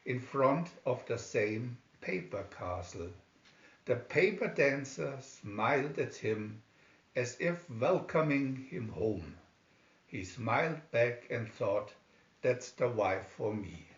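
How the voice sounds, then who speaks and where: A man narrating a scripted fairy tale in a deliberate, expressive read-aloud style. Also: medium pitch. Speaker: storyteller. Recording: roomy, dull lo-fi home recording.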